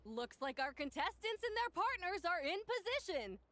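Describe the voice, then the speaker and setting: A woman playing a lively, upbeat tournament announcer in a video game, with a showy, projected delivery. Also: very high voice, moderate pace, exaggerated voice. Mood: showy, excited. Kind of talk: video game voice acting, match commentary.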